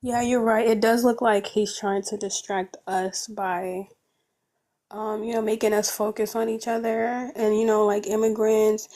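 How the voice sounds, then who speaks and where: A woman's voice: medium pitch, little pitch movement, a little slow, dark tone. A woman on a panel talking hesitantly, often dropping her voice to a near whisper. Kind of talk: casual panel discussion.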